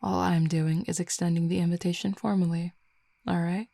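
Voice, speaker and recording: Woman acting as a flirty werewolf woman, relaxed and teasing, dark mellow tone, close dry sound, audio roleplay. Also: lowish voice, gravelly, no echo. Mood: reassuring, inviting. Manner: relaxed, teasing, affectionate, unhurried. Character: flirty, easygoing female werewolf pack member, confident and teasing. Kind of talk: intimate roleplay audio drama, casual one-sided conversation.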